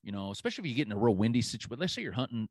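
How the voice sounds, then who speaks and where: A man's voice: mid-range voice, very animated, rapid-fire, no room sound. Easygoing male podcast host, dry sound. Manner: easygoing, lots of fillers like "you know". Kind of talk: casual hunting chat.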